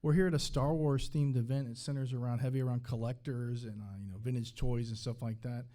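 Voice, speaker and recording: A man with a mellow, relaxed voice works as an interviewer at a live convention. His pitch sits in the middle, and he feels his way through questions in halting phrases. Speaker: podcast host interviewer.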